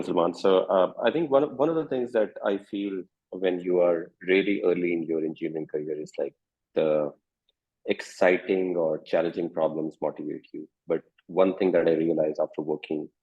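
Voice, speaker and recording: Very deep gravelly man on a lo-fi line. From Pakistan. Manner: thoughtful, reflective storytelling. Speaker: startup founder on a panel. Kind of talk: panel discussion. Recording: phone-like lo-fi audio.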